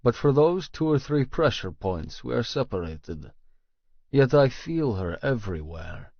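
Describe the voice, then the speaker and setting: A man reads first-person romance fiction in a creaky, gravelly voice. His delivery is slow and deliberate, in a dry, slightly muffled recording. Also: mid-range voice, sing-song, no room sound. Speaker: audiobook narrator.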